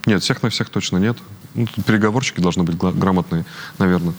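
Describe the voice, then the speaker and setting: Low-voiced man, earnest and halting. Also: a little hiss or hum. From Ukraine. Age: 30s. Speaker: guest. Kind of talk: interview conversation.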